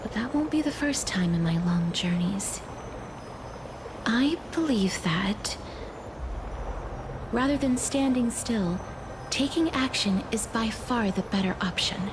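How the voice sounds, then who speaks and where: A woman voicing a composed, thoughtful traveler in a video game, speaking softly in a formal, reflective way, at times breathy. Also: on the high side, animated, takes it slowly, pauses often, background noise. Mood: calm, reflective. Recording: loud music under the voice.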